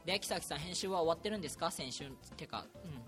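A young male podcast host chats casually and quickly, his pitch jumping around a lot. He banters bluntly with his friends and teases them. Music plays clearly behind him. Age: young adult. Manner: animated, blunt, teasing banter. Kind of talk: casual joking chat among student friends.

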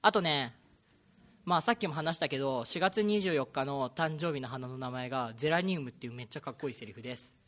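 A young man hosting a casual amateur radio chat with friends, talking in a playful, teasing, excitable way. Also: mid-range voice, quick pace. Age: young adult. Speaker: radio show host.

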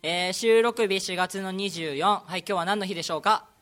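A young man hosting a casual amateur radio chat with friends, talking in a playful, teasing, excitable way. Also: sits high, fairly quick, faint music behind. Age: young adult. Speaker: radio show host.

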